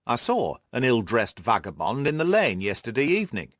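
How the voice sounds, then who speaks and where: A man's voice: mid-range voice, wildly swooping pitch, moderate pace, a bit bright, no echo, studio quality. A man reading the part of a dignified, earnest banker in an audiobook, speaking formally. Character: dignified male banker, earnest client of the detective.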